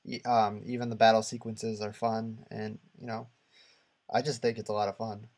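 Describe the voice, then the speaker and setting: A man co-hosting a casual gaming podcast, talking in a halting, rambling and opinionated way. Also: on the low side, level tone, darkish tone. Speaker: podcast co-host.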